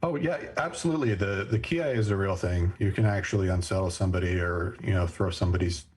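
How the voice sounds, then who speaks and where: A man's voice: low voice, fast talker, darkish tone, husky. A man in casual chat, telling things in an easygoing, agreeable way. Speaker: podcast co-host.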